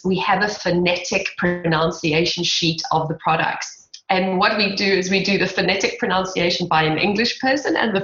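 A woman with a South African accent and a low-pitched voice talks as an interview guest. She sounds articulate and reflective, explaining things conversationally. The recording is roomy and a little dull. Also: fairly bright tone. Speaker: podcast guest, skincare brand founder. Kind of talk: conversational interview about own brand.

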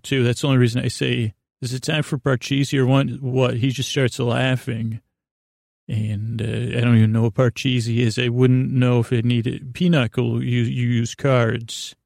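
A man hosting a bedtime podcast in a halting, meandering, whimsical monologue, with a creaky voice and frequent self-interruptions. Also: mid-range voice, a little mellow, no room sound, studio quality. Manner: silly, absurdly whimsical. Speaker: podcast host. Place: small closet, close and dry.

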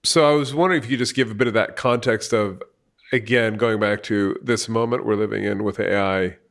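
A low-pitched man in his forties hosts a conversation. His questions wander casually, full of fillers and restarts as he talks the ideas through. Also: steady tone, fairly quick, creaky, fairly bright tone. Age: 40s. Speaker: podcast host. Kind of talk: conversational interview.

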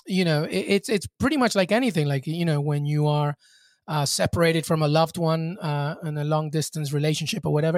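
A man's voice: fairly high pitch, no echo, clean studio sound. A man from Peru, a guest on a podcast, talking reflectively in casual chat with many fillers and restarts.

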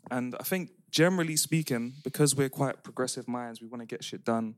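Young man, lively storyteller, dry close-miked sound. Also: mid-range voice, a little fast, no echo. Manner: animated storytelling, laughing slang-heavy. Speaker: podcast co-host. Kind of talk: casual chat among friends.

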